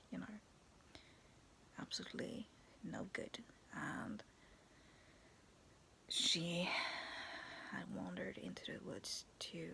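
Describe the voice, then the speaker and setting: A young woman vlogging in a soft, raspy whisper, talking to her viewers to camera and telling a spooky story, giggling now and then. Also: fairly low pitch. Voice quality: raspy, cracking voice. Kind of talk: whispered casual vlog with a ghost story. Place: dim bedroom with fairy lights. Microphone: phone or webcam at arm's length.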